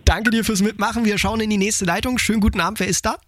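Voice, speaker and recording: A man hosting a radio call-in show, chatting cheerfully and quickly with callers. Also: high-pitched, fairly even intonation, background noise. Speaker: radio host. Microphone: studio microphone.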